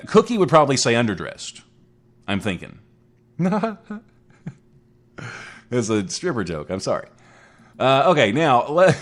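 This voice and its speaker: A man in his forties hosting a casual solo talk show, chatty and rambling, joking at his own expense. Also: mid-range voice. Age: 40s. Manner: chatty, rambling, self-mocking. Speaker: internet radio show host.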